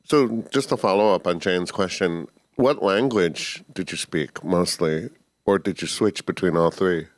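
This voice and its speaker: A man's voice: deep voice, measured pace, no echo. A man in the audience asking thoughtful follow-up questions at a book talk. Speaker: audience member.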